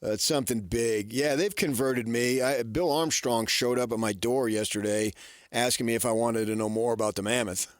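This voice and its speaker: A middle-aged American man co-hosting sports radio, giving opinions conversationally and haltingly, as if thinking aloud. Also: mid-range voice, dry recording, studio quality. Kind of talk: casual sports talk opinion. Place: radio studio. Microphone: close studio microphone.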